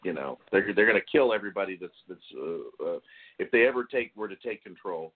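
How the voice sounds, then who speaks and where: A man hosting a podcast discussion, speaking haltingly and often repeating his words. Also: deep voice, animated, slightly rough. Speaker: podcast host. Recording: tinny phone-like call audio.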